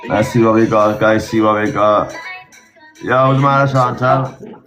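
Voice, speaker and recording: A man's voice: fairly low pitch, fairly even intonation, moderate pace, fairly bright tone. A man from South Wales with a Welsh accent, calling into a live stream and chatting in a laid-back, slangy way. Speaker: caller. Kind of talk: casual chat. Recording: dull lo-fi call with faint music.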